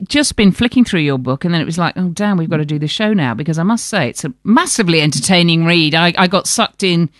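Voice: medium pitch, fast, hardly any pauses, no room sound. Jokey chatty radio host, voice bounces all over, dry close sound. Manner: playful, jokey and animated.

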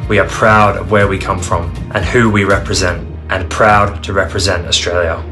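A man's voice: deep voice, fairly even intonation, normal speed, music in the background. A man, a footballer, speaking firm, proud lines in a scripted promo video.

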